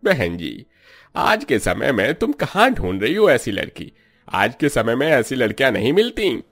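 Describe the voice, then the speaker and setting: A man plays a mild priest who arranges matches in a cartoon story. He speaks in a familiar, matter-of-fact manner at a middle male pitch. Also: big pitch swings, moderate pace, a bit bright, husky, full character voice. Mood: amused.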